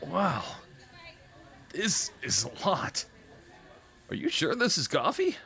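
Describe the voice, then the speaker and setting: A man acting a big, sweet, slightly nervous date in an ASMR roleplay, with playful teasing, boyish enthusiasm and soft hesitations. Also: fairly high pitch, wildly swooping pitch, takes it slowly, vocal fry, exaggerated voice. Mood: shyly playful. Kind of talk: ASMR roleplay audio drama, one-sided conversation.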